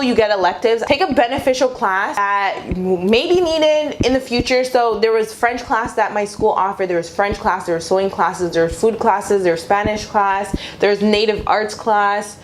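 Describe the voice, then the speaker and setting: A woman's voice: medium pitch, a little hiss or hum. A young adult woman chatting casually to viewers as a vlogger, giving advice in an informal way and repeating phrases for emphasis.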